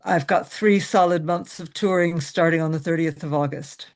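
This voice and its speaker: A middle-aged woman from the US with an American accent softened by years in Ireland and England, chatting as a guest on a podcast, laughing often and restarting her sentences. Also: low-pitched, normal speed. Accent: mixed American with Irish and West Country traces. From USA. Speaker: singer-songwriter guest. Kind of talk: casual interview chat.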